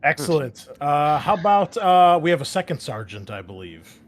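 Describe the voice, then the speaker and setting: A man hosting a tabletop game podcast as game master, upbeat and jumping louder on key words. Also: on the high side, big pitch swings, moderate pace.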